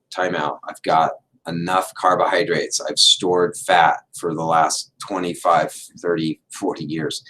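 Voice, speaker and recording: A middle-aged man, a doctor hosting a livestream, explaining in an unhurried, casual way with many fillers, his voice a little hoarse as he is losing it. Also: low-pitched, a bit bright, rough recording.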